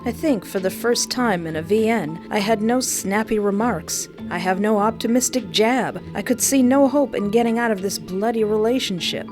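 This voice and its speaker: A woman's voice: mid-range voice, normal speed, hardly any pauses. Dramatic woman narrating a countdown over music. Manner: dramatic, emphatic storytelling. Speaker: host of a countdown video.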